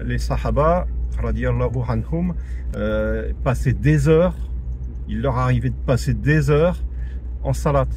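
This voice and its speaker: A man's voice: medium pitch, a little mellow. French man teaching in a car. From France. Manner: conversational, many fillers and restarts, emphatic repetition. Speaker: religious teacher. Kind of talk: informal spoken teaching. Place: in a car in traffic. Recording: audible traffic noise from the road.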